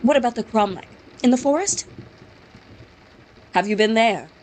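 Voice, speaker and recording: A woman's voice: fairly high pitch, lively intonation, moderate pace, a little mellow, slight background noise, lightly acted. A woman reading game dialogue as an earnest man who insists he is telling the truth, in a near-plain voice. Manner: insistent, pleading to be believed. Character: earnest young Englishman accused of murder.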